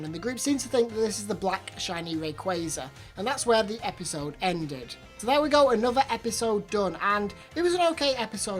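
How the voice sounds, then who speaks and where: Chatty woman with a slight hay-fever voice. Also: medium pitch. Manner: chatty recap with repeated words. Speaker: anime review channel host. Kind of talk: casual episode review voice-over.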